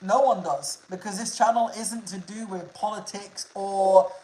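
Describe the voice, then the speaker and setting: A man's voice: high-pitched, unhurried, a little mellow. Laid-back man chatting in an echoey room. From Liverpool, England. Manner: laid-back, swears freely, calls viewers bro. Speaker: nature streamer. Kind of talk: casual livestream chat answering viewers.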